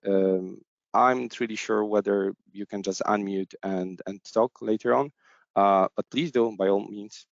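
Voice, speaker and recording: Gravelly low-voiced man hosting a webinar. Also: steady tone, measured pace, dry recording. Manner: hesitant, many fillers and restarts. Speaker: agile coach, webinar host. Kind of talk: online webinar presentation. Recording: dull, lo-fi video call audio.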